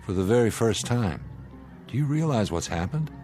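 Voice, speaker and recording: A man's voice: on the low side, animated, music in the background, lightly acted. An actor playing a skeptical spaceship crewman in a radio drama, a man speaking with incredulous wonder. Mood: awestruck. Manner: deliberate, incredulous. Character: skeptical male spaceship crewman turning awestruck.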